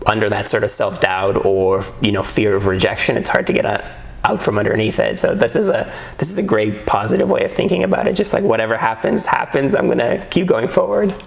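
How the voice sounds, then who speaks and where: A man's voice: lowish voice, a little fast, vocal fry. A man hosting an interview, encouraging his guest and paraphrasing his points back to him. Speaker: interview host. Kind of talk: casual interview conversation.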